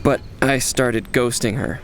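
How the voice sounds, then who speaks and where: A man acts out an awkward young medical resident in a radio drama. His mid-pitched voice has some creak and a dry, deadpan way with self-deprecating jokes. There is background noise around him. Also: a little slow, lightly acted. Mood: sly, teasing. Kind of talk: naturalistic radio drama acting.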